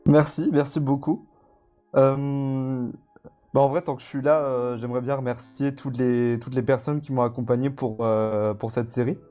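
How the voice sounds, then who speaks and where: A man gives a casual live interview as a guest. His voice is mellow and mid-pitched, his delivery hesitant and slightly rambling. Light music plays underneath. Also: flat delivery, moderate pace. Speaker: guest, audio fiction creator.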